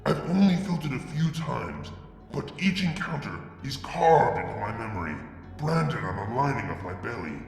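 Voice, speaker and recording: A man reading fan fiction aloud, narrating in the first person with brooding, deliberate delivery and sudden drops to a hush. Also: medium pitch, big pitch swings, creaky, faint music behind. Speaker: fanfiction reader. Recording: reverberant room with long echo.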